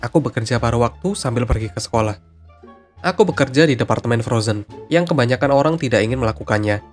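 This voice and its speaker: A man reading out scripted stories as a narrator, with music behind him. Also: medium pitch.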